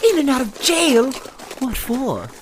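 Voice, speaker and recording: A man's voice: extremely high pitch, wildly swooping pitch, takes it slowly, noisy background, full character voice. A man playing a naive, well-spoken dandy fish from New York in a comic western audio drama, with wordy, formal diction. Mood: puzzled.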